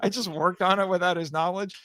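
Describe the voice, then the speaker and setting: A man with a slightly higher voice chats as a podcast guest. He is talkative and animated, and the recording is very dry. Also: brisk, no echo. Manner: animated, long-winded, laughs often. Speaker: podcast guest, audio drama writer and voice actor. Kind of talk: casual interview chat.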